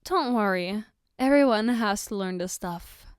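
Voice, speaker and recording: A woman's voice: medium pitch, expressive, a little slow, smooth, no echo, clean studio sound, natural voice. A woman playing a loving, reassuring and playfully teasing girlfriend in an intimate ASMR roleplay, with a tender, coaxing manner. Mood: gently teasing, caring. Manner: tender, coaxing, soft giggles between phrases. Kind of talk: ASMR roleplay, intimate one-sided dialogue.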